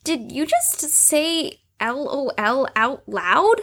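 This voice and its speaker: A woman playing a shy, hesitant, gentle young psychiatric patient in an audio drama, speaking haltingly and trailing off. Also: high-pitched, sing-song, exaggerated voice. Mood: timid, hesitant.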